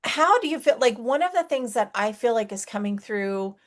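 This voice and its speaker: A woman's voice: a little high, animated, normal speed. A woman from the United States hosting a spiritual interview show, talking enthusiastically and gushing about her guest. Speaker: spiritual podcast host. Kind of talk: conversational interview.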